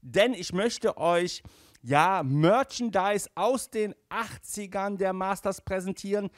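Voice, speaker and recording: Slow-paced man hosting a collector show. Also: high-pitched, no echo, studio quality. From Germany. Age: 40s. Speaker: collector magazine show host. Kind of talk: casual enthusiastic presenting to camera. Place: small indoor room with toy displays. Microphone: handheld microphone, later headset.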